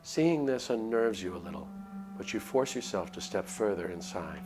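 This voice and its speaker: Man, lowish voice, expressive storybook narration, game streamer, casual asides, background music. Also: moderate pace. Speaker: streamer reading a visual novel aloud. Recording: music under the voice.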